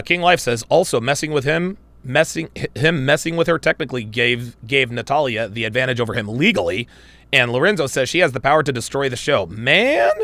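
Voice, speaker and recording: A man's voice: on the high side, creaky, fairly bright tone, no room sound. A man hosting a live reaction stream, blunt and crude, swearing and talking to his chat viewers. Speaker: podcast host reacting to a clip.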